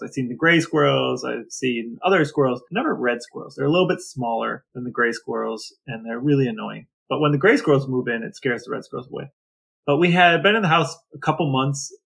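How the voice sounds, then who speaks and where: A man's voice: medium pitch, gravelly, a bit bright. A middle-aged man co-hosting a podcast, telling stories casually and comically in a grumbling, exasperated way. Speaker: podcast co-host. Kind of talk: casual comedic storytelling.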